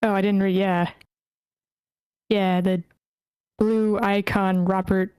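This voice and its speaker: A woman's voice: medium pitch, little pitch movement, takes it slowly, darkish tone, clear, resonant tone, no room sound. A woman hosting an online script table read, chatting casually in an informal, playful way. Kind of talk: casual chat, moderating an online meeting. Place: online voice meeting, dry close sound.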